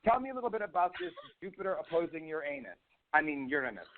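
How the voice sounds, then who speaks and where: A man's voice: fairly high pitch, sing-song, fast, a little hiss or hum. A man with a head cold hosts a radio show in casual, profane, joking chat; he sounds snotty. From US East Coast. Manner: chatty, profane, jokey. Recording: phone-like lo-fi audio.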